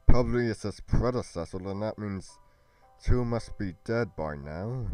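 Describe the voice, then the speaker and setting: A man playing an earnest adventurer in a fantasy roleplay drama, speaking in deliberate, halting, storybook phrases. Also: fairly low pitch, dark tone, clear, resonant tone. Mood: troubled, resolute. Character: earnest male adventurer musing over royal secrets. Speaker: voice actor in a fan-made Minecraft roleplay. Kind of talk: scripted dramatic roleplay dialogue.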